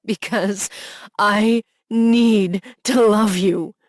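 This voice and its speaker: A woman's voice: fairly low pitch, fairly even intonation, a little slow, slightly rough, no echo, clean studio sound. A woman voicing a heartbroken young man who pours out jealous, desperate love, her delivery raw and pleading. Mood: anguished. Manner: raw, pleading, rising to anguished outbursts.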